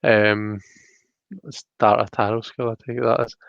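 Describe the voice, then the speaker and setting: A man's voice: fairly low pitch, flat delivery, measured pace, gravelly, no room sound, lo-fi sound. A Scottish man hosting a casual live tarot reading, chatty and hesitant, often chuckling as he reads out viewer comments.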